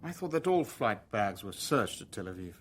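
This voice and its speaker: An actor playing a guarded middle-aged businessman who narrates his own spy story in a radio drama, in a measured, careful manner. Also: fairly low pitch, big pitch swings. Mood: guarded, deferential. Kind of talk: radio drama dialogue and first-person narration.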